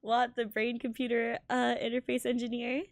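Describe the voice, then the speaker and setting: A woman streaming a visual novel, reading it aloud in a halting, giggly way with stumbles over words. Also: on the high side, little pitch movement, dry recording. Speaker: game streamer.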